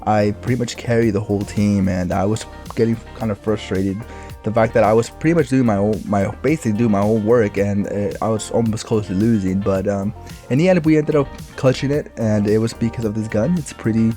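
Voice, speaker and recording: Raspy, rambling gamer guy. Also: lowish voice, a little fast, mellow, faint music behind. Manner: rambling, filler-heavy, laid-back. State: raspy, recovering voice. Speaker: gaming YouTuber. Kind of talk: casual gameplay commentary.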